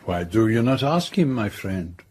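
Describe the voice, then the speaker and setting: An actor playing a courteous, observant Belgian detective with a French-speaking Belgian accent and formal, precise phrasing in a radio drama. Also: male voice, lowish voice, very animated, a little slow, a little mellow, slightly rough, full character voice. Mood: measured, curious. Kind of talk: radio drama acting and narration.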